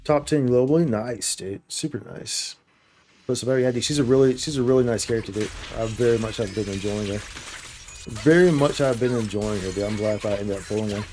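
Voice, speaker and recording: Bright-voiced man, casual game streamer. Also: fairly low pitch, fairly quick, soft background music. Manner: informal, slangy bro and dude talk. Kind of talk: casual live gameplay commentary.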